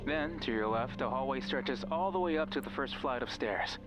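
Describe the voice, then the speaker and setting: An actor playing a mysterious, controlling friend who coaxes and instructs the listener over a radio in a horror game. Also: male voice, medium pitch, lively intonation, moderate pace, close to an everyday voice, rough recording. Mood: guiding, reassuring. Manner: instructing, coaxing, addresses listener directly. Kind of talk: horror visual novel voice acting. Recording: music behind the voice.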